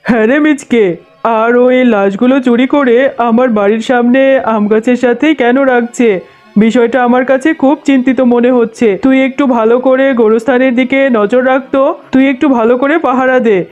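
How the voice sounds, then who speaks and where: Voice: mid-range voice, steady tone, brisk, darkish tone, muffled recording, soft background music, lightly acted. A performer voicing a concerned, kindly but bossy male tiger uncle in a cartoon, giving instructions and exclaiming.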